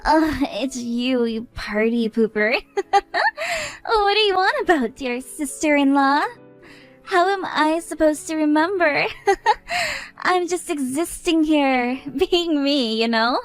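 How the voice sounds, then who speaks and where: A woman's voice: high voice, lively intonation, takes it slowly, soft background music, voice pushed up. A woman doing a cackling, drunk young woman. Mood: drunk mocking. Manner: cackling laughter, teasing sing-song.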